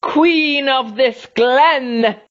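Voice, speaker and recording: A vicious female swamp creature with a long thin body hisses out her threats, raised high and loud above the telling, each word slowly stretched. A woman reading the story puts her on. The recording sounds dull and muffled. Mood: angry, menacing. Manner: hissing, menacing, drawn-out threats.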